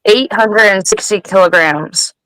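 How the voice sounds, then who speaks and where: A woman's voice: medium pitch, measured pace, fairly bright tone, no echo, low-quality recording. Woman chatting casually, close dry sound. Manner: informal, swears freely. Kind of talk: casual chat.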